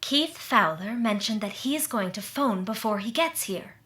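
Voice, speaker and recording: A woman's voice: mid-range voice, expressive, moderate pace, fairly bright tone, lightly acted. A woman playing a nosy, helpful friend with a coaxing manner in a re-created 1940s radio comedy. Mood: conspiratorial. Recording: roomy, echoey stage-like sound.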